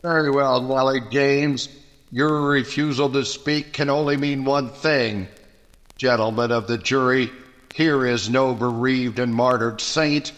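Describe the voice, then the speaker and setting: A man's voice: medium pitch, fairly even intonation, unhurried, fairly bright tone, close to an everyday voice. Stern accusing prosecutor played by a man. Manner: oratorical, accusing. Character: stern male prosecutor denouncing an accused woman. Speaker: actor. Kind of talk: 1940s radio drama acting.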